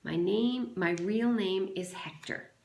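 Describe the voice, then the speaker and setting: A woman reading the part of an earnest, quiet young boy in a storybook, plain and halting. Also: mid-range voice, vocal fry. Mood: earnest, confiding. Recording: roomy, echoing sound.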